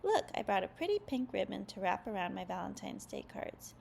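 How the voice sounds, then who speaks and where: Woman reading as a coy, creaky-voiced schoolgirl. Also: medium pitch, big pitch swings, brisk, darkish tone, a little hiss or hum. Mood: teasing. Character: teasing, coy, self-assured schoolgirl.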